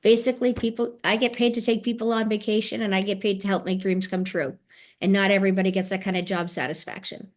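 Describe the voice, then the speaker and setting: A woman's voice: medium pitch, fairly even intonation, quick pace. A woman speaking as a panelist on a video call, telling fond stories about her work as a tour director. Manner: earnest, fond storytelling with fillers. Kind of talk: casual webinar chat.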